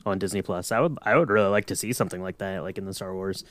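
A man's voice: low-pitched, fast, dry recording. A man chatting casually on a fan podcast in an easygoing, somewhat hesitant way. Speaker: podcast panelist.

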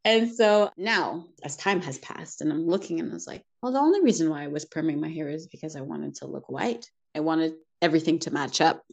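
Candid, reflective woman, lowish voice. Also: fairly quick, vocal fry, hardly any pauses. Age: adult, mother of two daughters. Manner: reflective, candid, laughs often. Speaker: interview guest. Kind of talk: personal conversational storytelling.

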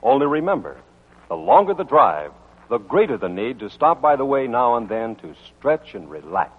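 A man's voice: medium pitch, very animated, normal speed, husky. A man announcing from a script in the style of a vintage radio show. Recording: tinny band-limited old radio, faint music.

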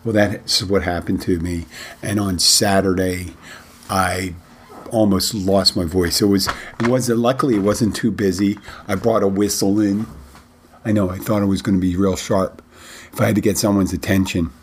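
A middle-aged man hosting his bartending podcast in a casual, rambling way, his voice a little rough from a cold he is getting over. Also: deep voice, steady tone, slight background noise. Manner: rambling, halting, many restarts. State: recovering from a head cold, slightly rough voice. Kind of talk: casual solo podcast talk.

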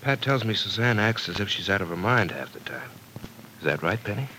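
A brooding old-radio leading man, real deep voice, faint hiss. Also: very animated, fairly quick, pushed and bright, poor audio. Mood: doubtful. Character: troubled man Johnny, lovesick and brooding. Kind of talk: 1940s radio acting.

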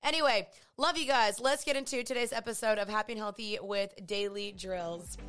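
A woman with a raspy voice hosting a podcast in chatty, gushing talk full of fillers. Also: mid-range voice, fairly quick, a bit bright, faint music behind. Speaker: podcast host. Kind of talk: casual podcast chat.

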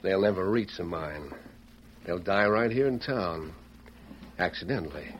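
Cold, low-pitched western villain. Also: male voice, measured pace. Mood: cold, scheming. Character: cold, calculating western villain plotting murders. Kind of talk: old-time radio western acting. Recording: muffled old radio recording, faint noise.

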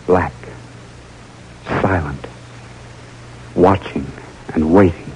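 An actor playing an intense, driven American army lieutenant in an old radio drama, with a dramatic delivery. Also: male voice, low voice, extremely slow, darkish tone, full character voice, low-quality recording. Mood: haunted narration. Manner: dramatic, urgent. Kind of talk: old-time radio drama acting, first-person narration.

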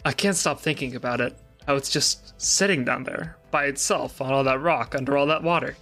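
A man's voice: mid-range voice, a little fast, sharp tone, faint music behind. A man playing an obsessed, distressed interview subject in a dramatic reading, agitated and repetitive, with swearing. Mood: haunted fixation.

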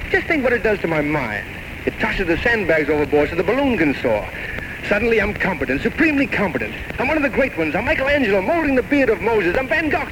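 A man playing an eloquent, charming but desperate drinker in an old-time radio drama, with florid, mock-grand, poetic delivery. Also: high-pitched. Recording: noisy vintage radio recording with music.

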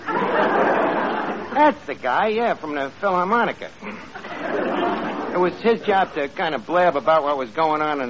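A brash New York tavern manager who puts on highbrow airs in slangy, mangled grammar. The voice sits high and swings about freely. It is vintage radio comedy, with a noisy studio audience behind it. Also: male voice, lo-fi sound. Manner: slangy, ungrammatical, blustering. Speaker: comedy show lead. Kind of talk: 1940s-50s radio comedy acting.

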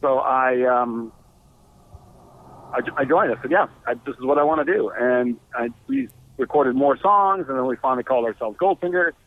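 A man's voice: mid-range voice, lively intonation, normal speed. A man telling stories as a musician guest in a chatty, loose way over a phone line. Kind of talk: casual interview storytelling.

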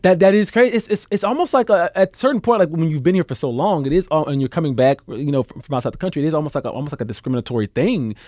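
A man's voice: a little high, lively intonation, very fast, few pauses, a little mellow, studio quality. A man hosting a casual chat interview, agreeable and often echoing phrases back to the guest. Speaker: interview host.

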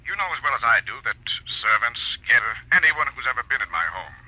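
Sharp, raspy rich older husband. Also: male voice, mid-range voice, big pitch swings, fast, exaggerated voice, rough recording. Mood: curt, probing. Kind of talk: 1940s American radio drama acting.

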